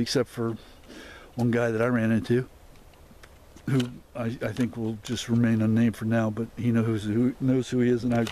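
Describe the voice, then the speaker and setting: A man's voice: medium pitch, a little mellow, a little hiss or hum. Rambling man filming in the woods. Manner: rambling, many fillers and restarts. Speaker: explorer vlogger. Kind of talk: walk-and-talk vlog narration. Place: dense overgrown woods outdoors. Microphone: handheld camera mic while walking.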